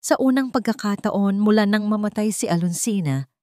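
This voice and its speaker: A woman's voice: medium pitch, moderate pace, darkish tone, dry recording, studio quality. A woman with a Filipino accent narrating a scripted romance story.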